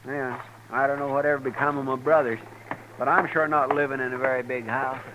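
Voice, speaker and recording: An actor with a rural American accent playing an earnest, fretful, homespun deputy sidekick in a 1950s radio western. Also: male voice, mid-range voice, steady tone, brisk, lightly acted. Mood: folksy, puzzled. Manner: homespun, plainspoken. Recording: noisy, crackly vintage radio recording.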